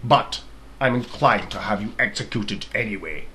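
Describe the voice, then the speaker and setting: A man voicing an evil, ruthless king in a storybook reading, pompous and deliberate, with cold menace. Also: fairly low pitch, sharp tone, slight background noise.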